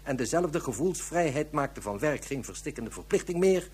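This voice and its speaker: A grave future police inspector in a radio play. His husky, slightly high male voice declaims and reasons through pointed rhetorical questions, articulate and official. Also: expressive, normal speed, a little mellow. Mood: emphatic, rhetorical. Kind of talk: radio drama acting.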